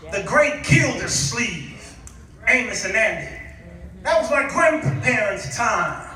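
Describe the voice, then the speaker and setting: A very high-voiced American man preaches in a heavily echoing hall. His delivery is theatrical and exclamatory, with drawn-out emphasis and questions thrown out to the congregation. The pitch swings widely from phrase to phrase. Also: pushed and bright. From Chicago, United States. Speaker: guest preacher. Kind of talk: nostalgic sermon to a congregation. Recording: echoey hall, distant microphone.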